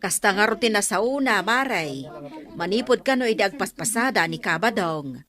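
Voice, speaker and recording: A woman's voice: mid-range voice, expressive, moderate pace, fairly bright tone, close to an everyday voice. An actress with an Ilocano accent playing a gossipy, tattling village woman in a radio comedy drama. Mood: gossiping.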